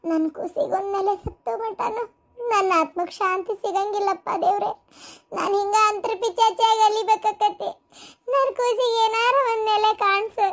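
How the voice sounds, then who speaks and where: Very high, plaintive ghost of a young mother. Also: steady tone, normal speed. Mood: anguished, tearful. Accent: North Karnataka. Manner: plaintive, lamenting. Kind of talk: melodramatic cartoon story acting.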